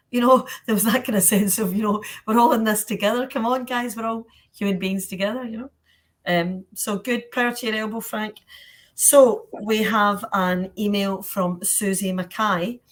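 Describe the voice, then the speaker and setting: A Scottish woman hosting a panel Q&A in a chatty, colloquial, encouraging way. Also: medium pitch. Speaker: panel host.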